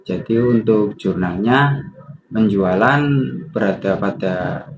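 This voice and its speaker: A young man, a student presenter, reading out a worked example. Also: on the low side. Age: young adult. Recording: clear background noise.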